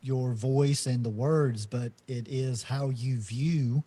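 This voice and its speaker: A middle-aged man co-hosting a podcast, talking slowly and reflectively in a casual spiritual chat, with many fillers and restarts. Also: medium pitch, fairly even intonation, mellow, husky. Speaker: podcast co-host.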